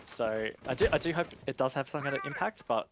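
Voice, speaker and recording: A man's voice: mid-range voice, quick pace, noisy background. A man giving conversational, analytical commentary on a live esports match. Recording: game gunfire and clicks behind voice. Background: game sound with gunshots.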